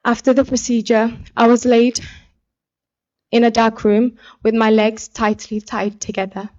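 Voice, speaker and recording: Young woman from somalia giving a heartfelt testimony speech, pretty flat pitch, kinda dull lo-fi audio. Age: young adult. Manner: earnest, deliberate public speaking. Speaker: pageant contestant and activist speaker.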